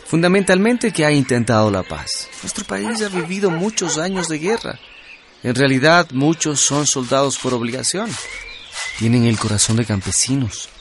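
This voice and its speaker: An actor playing an earnest, idealistic young student in a radio drama, speaking reflectively. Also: male voice, fairly high pitch, expressive, normal speed, noisy background. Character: earnest, idealistic young male student recounting revolutionary times. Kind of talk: radio drama narration and dialogue. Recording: loud music under the voice.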